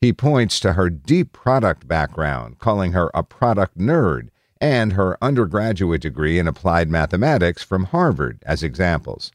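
Low-pitched man reading news, lively intonation. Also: moderate pace, hardly any pauses, no echo, studio quality. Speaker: article narrator. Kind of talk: scripted news article narration.

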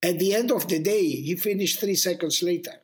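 High-pitched man with a foreign accent. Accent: foreign-accented English. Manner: halting storytelling, repeats words for emphasis. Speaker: motorsport journalist guest. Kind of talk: casual anecdotal chat.

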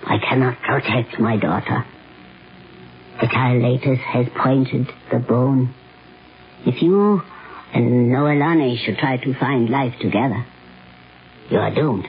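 A performer with a low voice playing a stern, regal Samoan mother in a 1970s radio drama, solemn and portentous. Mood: grave, unyielding. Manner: slow, solemn, portentous, riddling. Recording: dull vintage radio recording.

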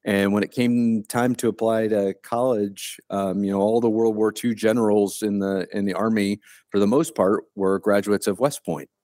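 Plainspoken low-voiced retired officer. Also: male voice, steady tone, dark tone, no room sound, studio quality. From Ohio, US. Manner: plainspoken, unhurried storytelling. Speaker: guest, retired Army colonel. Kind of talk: casual interview conversation. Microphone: remote call.

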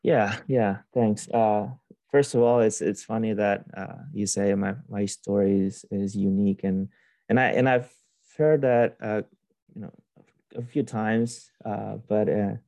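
A young man speaks low and dark, his pitch staying flat and even. He tells his story as a guest, pausing, restarting and filling gaps as he goes. The sound is dry and close, with no room around it. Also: dry recording. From Honduras. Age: young adult. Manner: hesitant, many restarts and fillers. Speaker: podcast guest. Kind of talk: casual interview storytelling.

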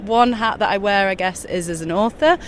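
Chatty woman guest at a show, laughs a lot, lively asides, bit of background hum. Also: mid-range voice. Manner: animated, laughing, quick asides. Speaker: guest, children's author and eco-journalist. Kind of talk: casual interview chat. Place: busy exhibition show floor.

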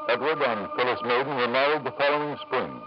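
A man's voice: medium pitch, a little fast, music in the background, natural voice. An actor playing a middle-aged lord in an old-time radio drama, urbane and insistent, hemming as he persuades. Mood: sombre narrating. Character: smooth, domineering wealthy lord and father. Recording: muffled 1940s-50s radio recording.

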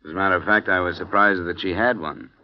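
A man's voice: low voice, fairly even intonation, fast, slight background noise, natural voice. An actor playing a tough male insurance investigator who speaks tersely and dryly, in a vintage radio drama. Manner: terse, dry, businesslike. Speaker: investigator and narrator. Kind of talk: 1950s radio drama acting. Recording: dull lo-fi vintage radio broadcast.

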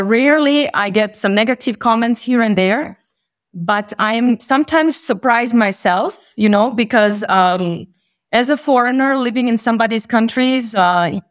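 A woman from Bosnia and Herzegovina, a native Bosnian speaker, talking as an interview guest in a halting way with many pauses. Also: fairly high pitch. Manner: fluent but halting, many ums and restarts. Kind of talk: conversational interview.

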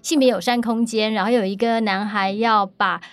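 A woman podcast host, chatting in a lively, playful, giggly way. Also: medium pitch, normal speed, no echo. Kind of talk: casual interview chat.

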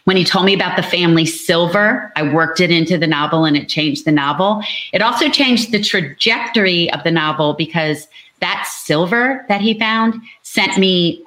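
A woman's voice: fairly low pitch, moderate pace, fairly bright tone. A woman novelist talking about her work on a panel, earnest and storytelling, repeating phrases for emphasis. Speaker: novelist, interviewee. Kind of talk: animated book talk on an online panel.

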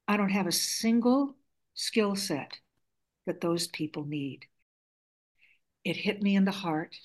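A woman's voice: medium pitch, a little mellow. A woman in her seventies, Greek by first language, tells her story as an interview guest. She speaks in an articulate, reflective manner, with a mid-range voice. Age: 70s. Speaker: guest author.

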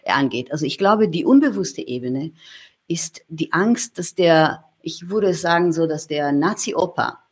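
Husky-voiced woman thinking aloud. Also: low-pitched, animated, a little slow. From Germany. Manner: thoughtful, searching, many restarts and fillers. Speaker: interview guest. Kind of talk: reflective conversational interview.

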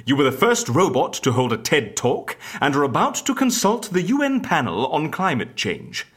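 A man playing a genial, slightly bumbling agency interviewer in an audio comedy drama, polite and musing with a husky voice. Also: on the high side, wildly swooping pitch, normal speed, fairly bright tone, full character voice. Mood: genial, wry.

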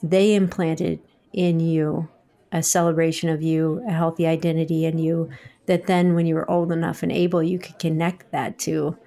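A woman in her forties hosting a faith-based interview, measured and reflective in how she speaks. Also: low voice, flat delivery, mellow. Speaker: host.

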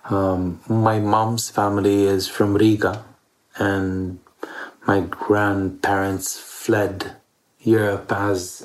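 A man's voice: fairly low pitch, poor audio. A man from East Jerusalem speaking as an interview guest in an earnest, reflective way, often repeating words for emphasis. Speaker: interview guest, theater director.

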